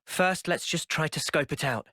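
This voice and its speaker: A man playing a thoughtful, earnest young soldier in a video game, speaking in a measured, reflective way. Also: fairly high pitch, sharp tone, no room sound, clean studio sound. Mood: calm, decisive. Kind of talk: video game cutscene voice acting.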